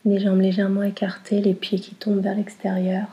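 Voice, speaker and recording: A woman guiding a relaxation meditation in a soft, breathy, soothing way, in short phrases with long pauses. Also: medium pitch, monotone, highs cut off. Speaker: yoga nidra guide.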